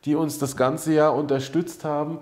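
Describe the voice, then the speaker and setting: A middle-aged man hosts a casual talk show from a studio couch. His voice sits slightly high for a man, and his delivery is blunt and emphatic, driving points home by repeating them. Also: level tone, moderate pace, darkish tone. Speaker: show host. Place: studio set with brick wall backdrop.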